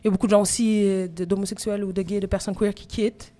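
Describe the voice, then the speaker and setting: A woman's voice: mid-range voice, flat delivery, quick pace, warm tone. A woman in her thirties from Quebec with a Québécois accent, a rapper guest telling her story openly in an interview. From Quebec, Canada. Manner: open, reflective personal storytelling. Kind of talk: candid conversational interview. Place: live podcast taping at a venue. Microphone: phone recording.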